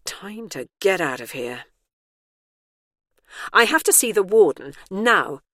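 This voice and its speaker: A woman's voice: low voice, very animated, a little slow, no echo, exaggerated voice. A woman voicing a grumpy, defiant older woman with a blunt, indignant manner, in an audiobook story. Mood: stubborn demanding.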